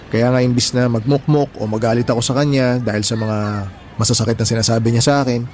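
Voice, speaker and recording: A man's voice: mid-range voice, darkish tone, slight background noise, natural voice. A man playing an earnest, humble, hardworking young husband in a radio drama, talking in a mild conversational way. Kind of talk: Tagalog radio drama dialogue.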